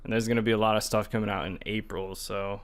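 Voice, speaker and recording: A man's voice: on the low side, flat delivery, fast, gravelly. A man co-hosting a gaming podcast, talking in loose, casual conversation.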